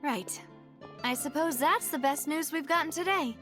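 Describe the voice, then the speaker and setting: A proud young lady leader in a video game. Her voice sits high and she speaks formally, with a guarded, pointed edge, over background music. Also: normal speed, fairly bright tone. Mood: resolute. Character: proud, guarded young noblewoman leader, sharp-tongued and determined.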